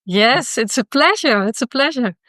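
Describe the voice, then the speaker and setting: A woman's voice: fairly high pitch, animated, moderate pace, fairly bright tone, no room sound, clean studio sound. A woman hosting a podcast interview, friendly and encouraging, with many short agreements. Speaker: podcast host.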